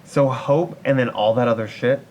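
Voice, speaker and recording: A woman's voice: extremely low pitch, moderate pace, a little mellow, highs cut off, a little hiss or hum. A woman co-hosting a podcast in casual chat, playfully teasing and interjecting as she reacts to a story. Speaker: podcast co-host.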